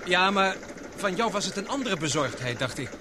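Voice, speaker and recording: A man acting in a radio drama as a guarded, gruffly loyal adventurer with blunt, clipped replies. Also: high voice, sing-song, normal speed, noisy background. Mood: probing, uneasy.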